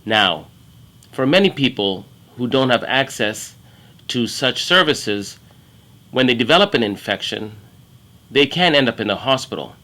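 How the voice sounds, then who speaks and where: A middle-aged man from Kenya speaks in a measured, earnest way as he explains his work as an infectious disease doctor. His voice is bright and forward, at a middling pitch. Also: lively intonation. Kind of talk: prepared career talk to camera.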